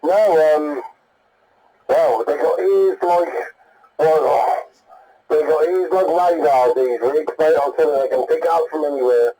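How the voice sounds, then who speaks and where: A British man comes through on CB radio with a mellow, slightly high voice. He is a hobbyist chatting casually, blunt and colloquial, rambling from one point to the next. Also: a little slow, rough recording. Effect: heard over CB radio. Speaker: CB radio enthusiast calling in.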